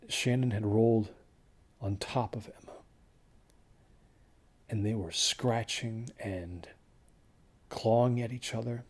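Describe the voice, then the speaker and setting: A man acting as a worn-out survivor of a desert ordeal, with a low, husky voice and a mellow, dark tone. He tells his horror story in halting, hushed phrases, as if still haunted. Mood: haunted, weary. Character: traumatized, exhausted man recounting a doomed desert trek. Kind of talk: slow first-person horror audio drama narration.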